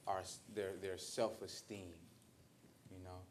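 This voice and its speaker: Low-voiced American man, deliberate speaker. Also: flat delivery, a little hiss or hum. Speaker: roundtable participant. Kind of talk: reflective social commentary. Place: indoor roundtable discussion at a museum.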